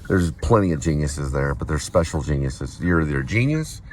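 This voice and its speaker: A man's voice: rumbling low, lively intonation, fairly quick. A middle-aged man talking casually in a vlog, wandering and reminiscing as he goes, with noise behind him. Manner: wandering, reminiscing, spells things out. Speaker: vlogger and amateur playwright. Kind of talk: casual rambling vlog talk.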